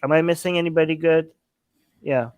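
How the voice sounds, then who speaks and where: A man hosting a casual livestream call-in, excited and quick to praise his guests. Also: a little high, clean tone, dry recording. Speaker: livestream host.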